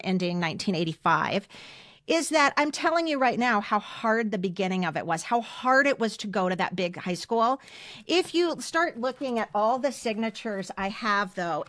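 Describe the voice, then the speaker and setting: A woman's voice: mid-range voice, fairly bright tone, dry recording. American woman in her fifties, dry close sound. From United States. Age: 50s. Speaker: podcast co-host. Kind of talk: casual personal storytelling.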